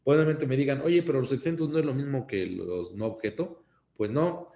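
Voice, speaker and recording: A man with a Mexican accent giving an informal instructional talk as an accountant, explaining things in a cautionary, halting way. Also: medium pitch, vocal fry, mellow. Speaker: accountant giving tax advice. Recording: roomy, echoing sound.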